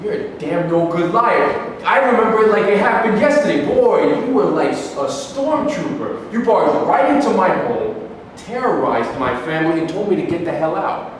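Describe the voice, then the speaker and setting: A man's voice: high-pitched, expressive, normal speed. Man acting an aggrieved Palestinian man, echoey hall. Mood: angry, accusing. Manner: declamatory, accusing. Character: aggrieved dispossessed Palestinian man, indignant and accusing. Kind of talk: staged reading of a play. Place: reverberant indoor hall, staged reading. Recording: echoey hall from far off, hissy.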